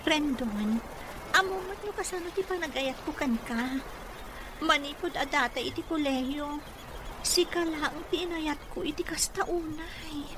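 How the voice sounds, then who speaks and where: A woman's voice: high voice, unhurried. A Filipino actress playing a lovelorn, insistent other woman in a melodramatic radio drama. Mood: pleading. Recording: noisy radio drama track with ambience.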